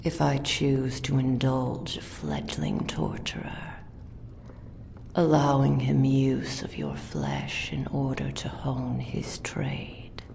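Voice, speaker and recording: A woman playing a cruel, possessive demonic dark mage in a menacing, formal ASMR roleplay, breathy and gravelly. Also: very deep voice, full character voice. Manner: menacing, taunting, formal and deliberate. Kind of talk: roleplay ASMR, villain monologue.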